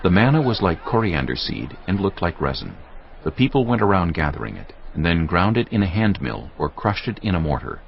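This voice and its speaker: A man's voice: low-pitched, big pitch swings, brisk, creaky, a little hiss or hum. A man narrating a dramatized scripture audiobook in a solemn storytelling manner. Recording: dull lo-fi sound with music.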